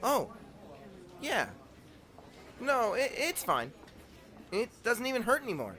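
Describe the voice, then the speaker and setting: A man's voice: very high-pitched, wildly swooping pitch, slow pace, pushed and bright, full character voice. A man playing a nervous teenage boy who stammers and gets flustered, in a fan-dubbed motion comic.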